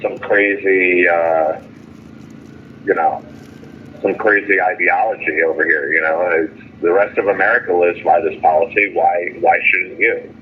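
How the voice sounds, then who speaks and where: A man calling in by phone with a halting, aggrieved, sardonic account in casual speech, as a jailed caller giving an update. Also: low-pitched, fairly even intonation. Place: jail phone call. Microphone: telephone. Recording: tinny noisy phone line.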